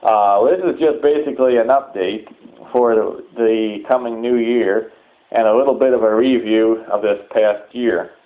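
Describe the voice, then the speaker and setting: A man delivering a formal, deliberate public announcement as the leader of an organization. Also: fairly low pitch. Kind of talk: public address, semi-scripted announcement. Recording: narrow, phone-like sound.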